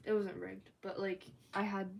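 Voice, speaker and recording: A teenage girl chatting on her own livestream, rambling loosely with lots of "like" and now and then dropping into a soft whisper. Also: medium pitch, flat delivery, normal speed, gravelly, background noise. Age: teens. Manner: rambling, chatty, filler-heavy talk with frequent "like". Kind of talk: casual livestream chat. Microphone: phone or tablet.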